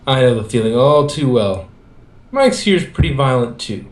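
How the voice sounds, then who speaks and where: A man reads a story aloud in an animated, expressive amateur style. His pitch moves around a lot as he shifts between narration and dialogue. The recording is roomy and echoing. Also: normal speed. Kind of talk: reading fan fiction aloud, narrating and doing character lines.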